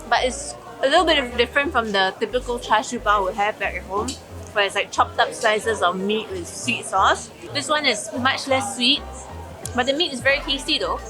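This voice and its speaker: A young Singaporean woman talks her way through a food tour, her voice sitting a little high and bright. She is chatty and eager, breaking into small laughs between bites. A music bed runs under her voice. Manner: chatty, enthusiastic tasting remarks with frequent laughs. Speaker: travel vlogger. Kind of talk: casual food vlog commentary.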